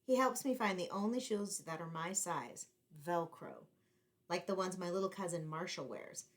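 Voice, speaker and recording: A woman reading a picture book aloud to children in an expressive, deliberately phrased storytelling style, like a teacher. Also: on the low side.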